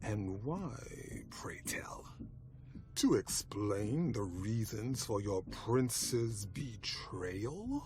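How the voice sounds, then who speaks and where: Slow, courtly, menacing vampire lord. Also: male voice, on the low side, sing-song, a little mellow, heavily acted. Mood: wary, measured. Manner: courtly, measured, old-fashioned politeness. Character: suave, formal, menacing male vampire clan leader. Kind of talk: dramatic video game acting.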